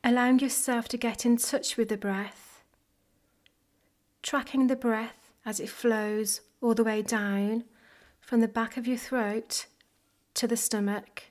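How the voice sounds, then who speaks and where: A woman leading a guided body scan meditation in a soft, gentle, measured way. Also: on the high side, steady tone. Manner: gentle, measured, unhurried phrasing with pauses.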